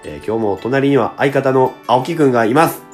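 関西訛りのある男性で、ラジオ番組の進行役として相方に話しかけるように気さくにしゃべる。. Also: male voice, medium pitch, expressive, fairly quick, faint music behind. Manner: chatty, reads out listener letters. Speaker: radio show host. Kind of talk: casual radio talk with a co-host.

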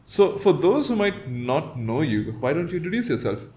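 A man's voice: fairly high pitch, moderate pace. A man hosting a tech podcast who opens the show energetically and chats with a guest. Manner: upbeat, energetic intro. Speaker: podcast host.

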